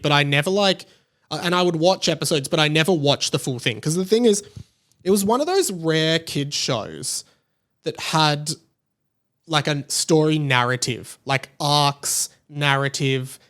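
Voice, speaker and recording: A man's voice: on the high side, a bit bright. A young man hosting a podcast solo, giving casual, opinionated commentary in a rambling, conversational way with emphatic points. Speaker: podcast host.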